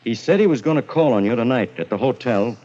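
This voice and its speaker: An actor playing a wisecracking, skeptical police inspector in a 1940s radio drama, slangy and wry, on a muffled old recording. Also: male voice, medium pitch, animated, quick pace, mellow, natural voice. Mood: warning.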